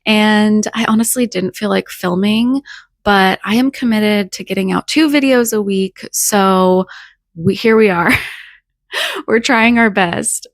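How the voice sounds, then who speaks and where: A young woman with a scratchy, hoarse voice from a cold, talking earnestly and reflectively about faith in a casual vlog. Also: medium pitch, takes it slowly, no echo, studio quality. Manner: earnest, reflective, with soft laughs. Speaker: faith vlogger. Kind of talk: casual devotional talk to camera.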